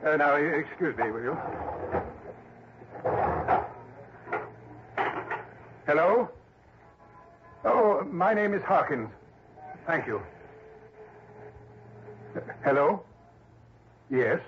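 A dull, faintly hissy old radio recording carries an agitated American businessman, his voice pitched a little high. He is fussy and insistent, doubling his words in 1960s radio drama fashion. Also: big pitch swings, slow pace, long pauses. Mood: flustered. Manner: fussy, repeats words emphatically. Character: agitated, insistent businessman sure of foul play.

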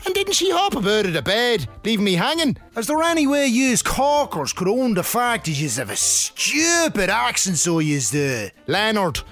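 A man's voice: high-pitched, huge pitch swings, normal speed, few pauses, fairly bright tone, soft background music, heavily acted. A man playing a cocky, aggrieved ladies' man with a Dundalk accent, boastful and indignant in a comedy monologue. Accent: Dundalk, County Louth.

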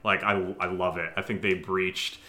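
A man's voice: low voice, steady tone, moderate pace, sharp tone. A man co-hosting a casual Q&A, talking in a rambling way peppered with "like" as an audio drama writer and actor. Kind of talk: casual Q&A chat.

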